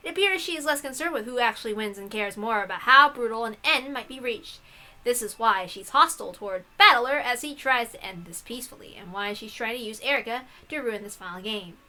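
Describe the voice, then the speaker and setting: A woman's voice: a little high, lively intonation, brisk, pushed and bright, poor audio. A woman hosting a game playthrough, reading text aloud and adding playful, casual asides. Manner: playful, giggly, swearing asides.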